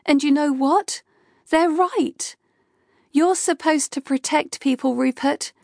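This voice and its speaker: A woman's voice: sits high, expressive, moderate pace, creaky, no echo, clean studio sound, voice pushed up, exaggerated voice. A woman voicing a frustrated, outspoken young woman who scolds her boss. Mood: scolding. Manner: forceful, scolding, exasperated.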